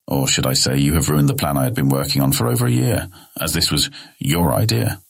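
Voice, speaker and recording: A man's voice: rumbling low, brisk, creaky, heavily acted. A man voicing a furious, ruthless guild leader in a fantasy audiobook, hissing his clipped, sarcastic words with cold scorn. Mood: scornful anger. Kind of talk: fantasy audiobook character acting.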